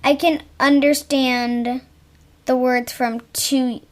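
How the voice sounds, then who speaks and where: A woman's voice: sits high, little pitch movement, takes it slowly, darkish tone. A young girl talking candidly with her mother on a podcast, hesitant and searching for words. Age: young, child. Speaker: guest, host's daughter.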